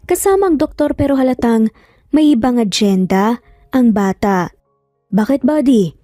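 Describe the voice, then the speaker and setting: Female narrator for romance drama: warm tone, fairly high, close dry sound with light music behind. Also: very animated, normal speed, dry recording. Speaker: story narrator.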